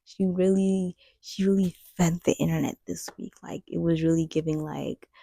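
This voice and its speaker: A woman's voice: lowish voice, steady tone, moderate pace, creaky, warm tone, no room sound. A young woman host telling a story in a chatty, slangy commentary style. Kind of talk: casual commentary.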